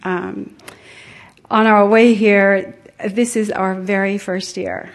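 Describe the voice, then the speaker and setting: Middle aged woman from tonga telling her story to a group, really slow n halting. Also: medium pitch, little pitch movement. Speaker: attendee sharing personal story at a conference. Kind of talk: informal personal testimony to a group.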